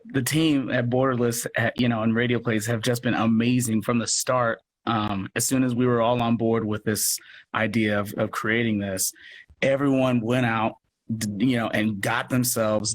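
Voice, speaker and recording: An American man talking casually as an interview guest, in a rambling, self-effacing way. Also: mid-range voice. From United States. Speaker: guest, audio drama producer and actor. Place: live social media video call. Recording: noisy live video-call audio.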